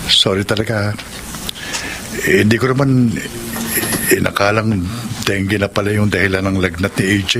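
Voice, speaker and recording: A man's voice: on the low side, fairly even intonation, moderate pace, long pauses, lightly acted. A man playing an earnest young husband and father in a Filipino radio drama, contrite and hesitant. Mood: remorseful. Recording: noisy ambient background.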